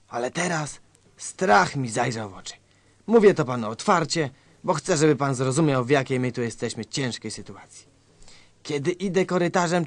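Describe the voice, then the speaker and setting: Casting: grave, nervous colonel, mid-pitched, husky, confiding, melodramatic, radio comedy. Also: male voice, no room sound. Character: nervous, conspiratorial male colonel, paranoid and grave. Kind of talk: satirical radio sketch acting.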